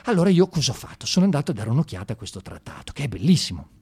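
A man performs political-style satire on stage in a mid-pitched voice. He talks colloquially and haltingly, full of ironic mock praise, and can swing into excited, raised delivery. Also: creaky, slightly rough. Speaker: monologue performer in a satirical theatre show. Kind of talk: satirical stage monologue, conversational.

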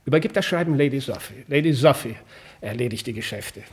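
An actor playing a self-important rich gentleman, pompous, clipped and declamatory, in a 1950s German radio drama. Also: mid-range voice, lively intonation, brisk, slightly rough. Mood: haughty, impatient.

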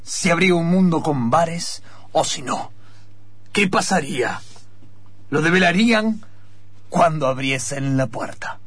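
A man's voice: a little high, lively intonation, unhurried, long pauses, fairly bright tone, breathy edge, dry recording. A man with an Argentine accent narrating an improvised radio serial in a dramatic, suspenseful style. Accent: Argentine, Rioplatense.

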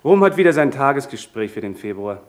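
An actor playing a genteel, urbane young gentleman in a German radio play, formal and a little gossipy. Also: mid-range voice, very animated, brisk, natural voice. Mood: wry, gossipy.

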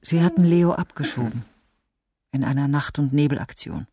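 A woman's voice: low-pitched, moderate pace, mellow, slight background noise. An actress playing a wistful, passionate woman confiding memories of a past love in a radio drama. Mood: wistful, regretful. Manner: confiding, reflective.

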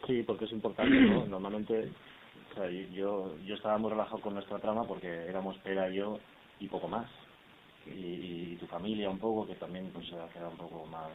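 A man's voice: deep voice, lively intonation, fairly quick. A male actor talking casually and colloquially at a press conference, rambling with tags and quoted asides. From Iberian Peninsula. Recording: muffled, hissy phone-like audio.

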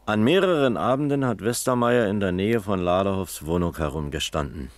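An actor playing a sober, methodical police investigator in a radio crime play, terse and businesslike. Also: male voice, low voice, expressive, a little fast. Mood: reporting.

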